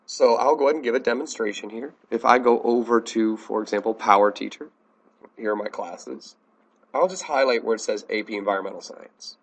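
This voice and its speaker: An American man teaching a software tutorial in a plain, step-by-step instructional manner. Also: lowish voice, fairly quick, slightly rough. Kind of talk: instructional screencast narration.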